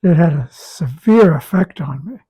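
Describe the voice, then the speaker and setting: A man with a raspy, hoarse voice talking casually about his health, halting and repeating words as he goes. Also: on the high side, animated, dark tone. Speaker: guest sharing a health update. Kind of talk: casual conversation.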